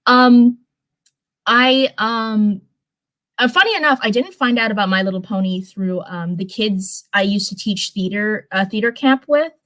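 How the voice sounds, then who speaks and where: A woman's voice: mid-range voice, expressive, a little slow, a bit bright, low-quality recording. A young woman hosting a livestream, moving between reading a story aloud and rambling, informal chat in which she often corrects herself. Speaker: livestream host reading fan fiction.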